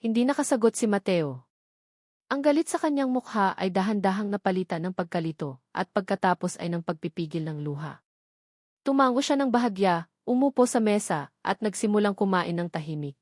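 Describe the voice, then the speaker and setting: A woman narrates a scripted romance drama. Her voice sits at a middle pitch. The recording is very dry, with hardly any room sound. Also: lively intonation, fairly quick, darkish tone, no room sound.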